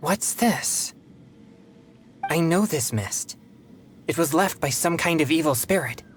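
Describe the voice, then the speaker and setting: A man voicing an earnest, polite teenage boy exorcist in a video game, with formal, measured phrasing. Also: on the high side, expressive, background noise. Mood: alert, cautious.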